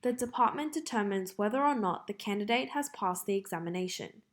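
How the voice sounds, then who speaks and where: A woman with an Australian accent reads scripted practice passages aloud in a measured, careful way. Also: mid-range voice, expressive. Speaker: reader of English test practice passages.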